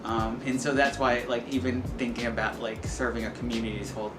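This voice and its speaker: A man's voice: mid-range voice, level tone, a little fast. Man in echoey interview over music. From El Salvador until age seven, then New York state, US. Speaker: community center workforce and tech staffer, interviewee. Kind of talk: informal personal interview answers. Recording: echoey room with loud music bed. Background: loud music.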